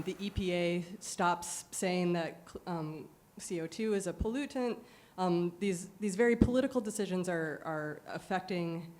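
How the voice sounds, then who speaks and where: A woman's voice: fairly low pitch, darkish tone. A woman who teaches geology asks a speaker a question from the audience, hesitant and searching, with many fillers. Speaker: geology professor asking a question. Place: college lecture hall.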